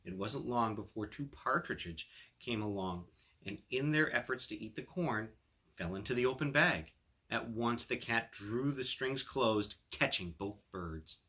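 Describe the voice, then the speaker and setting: A man's voice: mid-range voice, measured pace, sharp tone. A man reading a fairy tale aloud as a storyteller, with casual asides to his listeners. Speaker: storyteller, attorney.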